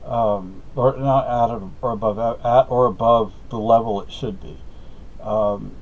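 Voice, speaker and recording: A man's voice: mid-range voice, steady tone, measured pace, a little mellow. A middle-aged man from Spain, a native Spanish speaker, telling a meandering personal story with many fillers and self-corrections. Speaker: vlogger. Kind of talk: rambling personal monologue. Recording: slightly dull lo-fi audio.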